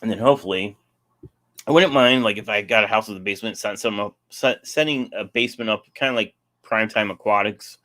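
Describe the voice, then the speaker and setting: A man's voice: fairly low pitch, fairly quick, sharp tone. A young man casually hosting a live stream, rambling and answering chat, his voice getting sore.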